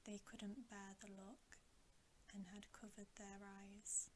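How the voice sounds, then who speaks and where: A woman reading a bedtime story in a hushed, breathy, deliberate ASMR whisper, close to the microphone. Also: medium pitch. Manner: hushed, unhurried, softly deliberate. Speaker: ASMR storybook reader and host. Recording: steady audible hiss.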